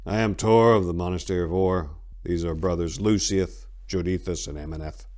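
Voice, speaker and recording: A man's voice: deep voice, fairly even intonation, normal speed, a little mellow, close to an everyday voice. Man voicing a resolute, commanding warrior monk. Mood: grave, joyless. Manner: commanding, grave, emphatic.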